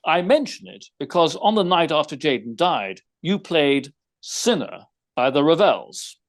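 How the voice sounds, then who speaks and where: A man playing a shrewd, unruffled gentleman detective in an audio theater mystery, speaking precisely with formal, wry phrasing. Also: a little high, sing-song, a little slow. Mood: probing, wry.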